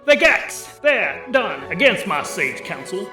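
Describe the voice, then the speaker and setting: A man's voice: high-pitched, very animated, takes it slowly, recorded from a distance, pitched above the performer's own voice, full character voice. A man voicing a greedy, petulant dragon lawyer with dramatic, wheedling salesman patter in a comic fantasy audio drama. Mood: disgusted, reluctant. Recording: roomy echo over clear background music.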